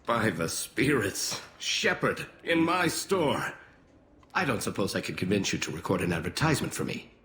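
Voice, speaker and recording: Low-voiced alien shopkeeper, very animated. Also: male voice, moderate pace, slight background noise. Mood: awed, eager. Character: proud alien gun shop keeper, awed and eager. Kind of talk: video game character acting.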